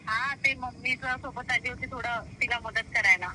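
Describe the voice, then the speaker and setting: A woman's voice: a little high, steady tone, normal speed, bright, forceful tone, slight background noise. A young woman chatting casually on a phone call, teasing and sceptical in manner. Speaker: person called on the phone.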